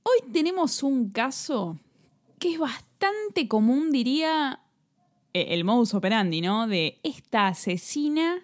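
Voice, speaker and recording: A woman's voice: high voice, very animated, slow pace. A woman with an Argentine accent co-hosting a true-crime podcast, telling a case in casual chat, laughing often and restarting her sentences. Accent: Argentine Spanish.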